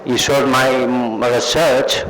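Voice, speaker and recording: A man with an Italian accent makes an acceptance speech in a middle-pitched voice. He is slow and halting, often searching for the next word. The event recording is clearly noisy. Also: fairly even intonation. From Italy. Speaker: award recipient, surgeon.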